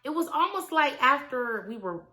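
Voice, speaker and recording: A woman, talking casually and animatedly to her viewers, swinging between loud asides and quiet trailing remarks. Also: high-pitched, sing-song, normal speed. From Milwaukee, Wisconsin. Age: 20s. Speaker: vlogger. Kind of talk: casual confessional vlog.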